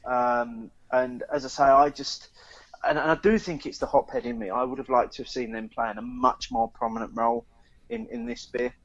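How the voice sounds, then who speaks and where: A man with a middling-pitched voice chats casually as a podcast co-host. He speaks haltingly, repeating words while he thinks aloud. The recording is a little dull and lo-fi. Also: expressive, gravelly. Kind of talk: casual chat, beer review.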